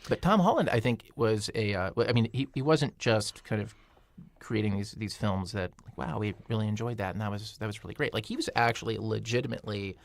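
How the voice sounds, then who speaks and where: A man with a fairly low voice talks films on a panel. He is wry and halting as he explains his points, in a dry recording free of room echo. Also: vocal fry. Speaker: podcast panelist. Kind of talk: casual panel chat about films.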